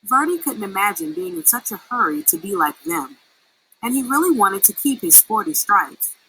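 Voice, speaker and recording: Bright, animated woman reading a story. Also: medium pitch, normal speed, slight background noise, poor audio. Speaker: story reader. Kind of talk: expressive read-aloud storytelling.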